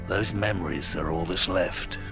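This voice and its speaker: A man playing a vengeful older British soldier in a video game, terse and brooding, with a low gravelly voice. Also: moderate pace, over music. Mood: grieving, grim. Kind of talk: dramatic video game acting.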